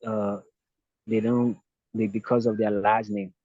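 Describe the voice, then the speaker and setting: A man's voice: on the low side, level tone, a little slow, warm tone, no echo. A man from Liberia with a Liberian accent, answering interview questions hesitantly, often repeating words and restarting. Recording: dull lo-fi dry sound.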